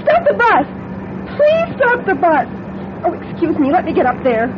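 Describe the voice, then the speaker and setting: An actress playing a naive, anxious young bride-to-be in a radio drama, with an earnest, flustered manner. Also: female voice, wildly swooping pitch, normal speed, exaggerated voice. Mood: distraught. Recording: dull, noisy old radio recording, vehicle rumble.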